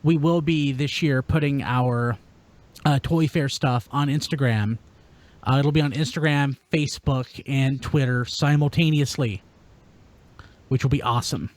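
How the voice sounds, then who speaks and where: A man's voice: medium pitch, unhurried. A man hosting a podcast in a chatty, informal way, talking casually to listeners and co-hosts. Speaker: podcast host. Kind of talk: casual podcast talk, sign-off plugs.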